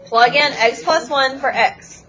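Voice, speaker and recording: A woman teaching math to a classroom, brisk and teasing as she walks students through problems. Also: high-pitched. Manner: brisk, teasing, talks over a noisy class. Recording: noisy classroom chatter. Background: students chattering.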